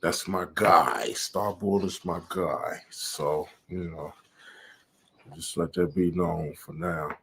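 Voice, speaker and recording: A man with a low, gravelly, husky voice talks very slowly in rambling casual commentary. He drawls through his thoughts and leans on fillers. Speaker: channel host reacting to clips.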